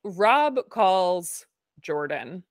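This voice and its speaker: A woman hosting a casual recap chat in a fairly low, masculine-leaning voice, dry and wry, laughing at her own jokes. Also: very animated, extremely slow, no echo. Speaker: podcast host.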